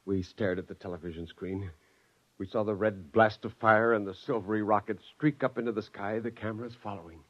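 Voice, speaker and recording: A man's voice: fairly low pitch, fairly even intonation, a little fast, no echo, close to an everyday voice. An actor playing a wry father and scientist narrating his tale in a 1950s radio drama, on a muffled vintage recording. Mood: sober, wry.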